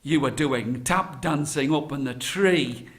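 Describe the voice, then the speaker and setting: Man voicing beggar man, cunning, feigned confusion, slow drawn-out words, innocent, children's folktale. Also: mid-range voice, background noise, natural voice.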